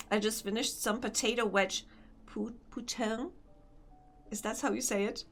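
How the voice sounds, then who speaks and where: A young woman with a Polish accent chatting casually with her stream chat while gaming, laughing often and answering comments. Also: on the high side. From Poland.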